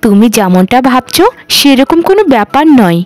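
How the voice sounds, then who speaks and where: A woman voicing a gentle, dutiful youngest daughter, earnest and conciliatory, in a cartoon. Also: fairly high pitch, very animated, fairly quick, no echo. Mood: apologetic. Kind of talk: cartoon voice acting.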